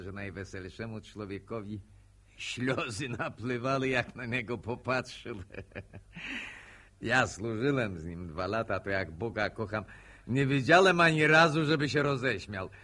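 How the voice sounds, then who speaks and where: A man's voice: mid-range voice, a bit bright. An actor playing a smirking, needling village man in a Polish radio drama, mock-gallant and mocking in manner. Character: smirking, needling village man, ex-corporal, teasing a courting couple.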